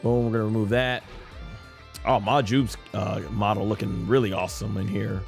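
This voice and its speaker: A man's voice: on the low side, flat delivery, moderate pace, creaky. Man rambling casually over background music. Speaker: live streamer and amateur voice actor. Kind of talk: casual gaming stream commentary.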